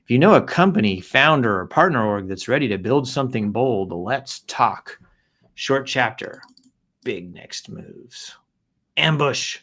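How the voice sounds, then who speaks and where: A man's voice: mid-range voice, animated, measured pace, a bit bright. A man hosting a show, casually reading posts aloud and commenting in a playful, rambling way with exaggerated emphasis. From American South. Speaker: show host.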